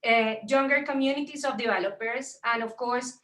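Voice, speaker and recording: A woman's voice: a little high, moderate pace, fairly bright tone. A middle-aged woman from Colombia with a strong Colombian Spanish accent and Spanish as her first language, giving an earnest talk at a virtual event, with many fillers and restarts. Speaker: tech entrepreneur and speaker. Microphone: video call. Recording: echoey, distant laptop mic on a video call.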